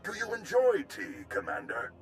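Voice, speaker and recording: Genteel, swooping Republic officer. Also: male voice, high voice, huge pitch swings, normal speed, gravelly, faint music behind, full character voice. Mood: gracious, enthusiastic. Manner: formal, effusive, polished. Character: genteel, courteous male Republic military overseer, tea connoisseur. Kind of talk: video game cutscene acting.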